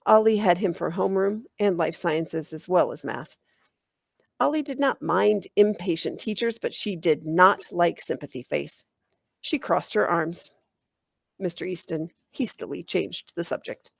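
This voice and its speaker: A woman reading a children's book aloud in an animated, expressive storytelling style. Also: lowish voice, measured pace, creaky, husky, no echo, studio quality. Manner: animated, acts out dialogue.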